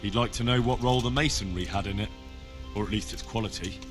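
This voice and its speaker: A man's voice: lowish voice, quick pace, music in the background, lightly acted. A young man playing a loyal, earnest servant of a medieval lord in a video game, polite and inquisitive. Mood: polite, businesslike. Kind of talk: cinematic game dialogue.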